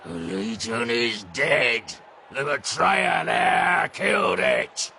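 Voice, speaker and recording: Gravelly, snarling brutish warlord. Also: male voice, fairly high pitch, lively intonation, bright, forceful tone, shouting, a little hiss or hum, exaggerated voice. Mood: scornful menace. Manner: theatrical, bellowing, drawn-out words. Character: brutish, arrogant warlord, hot-tempered and menacing. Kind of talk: audio drama acting.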